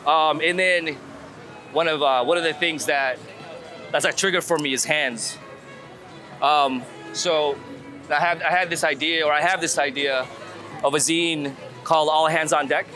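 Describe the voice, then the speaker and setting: A man's voice: on the high side, steady tone, unhurried, bright, forceful tone, faint music behind. A man being interviewed casually as a street photographer, thinking aloud and repeating words as he goes. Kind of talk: casual walk-and-talk interview. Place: outdoors on a city street. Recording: outdoor street recording with city traffic noise. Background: urban ambience and vehicles.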